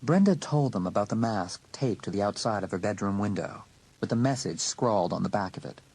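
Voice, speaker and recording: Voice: deep voice, animated, brisk, darkish tone, slightly rough. A narrator reading a scripted, dramatic story for an audiobook.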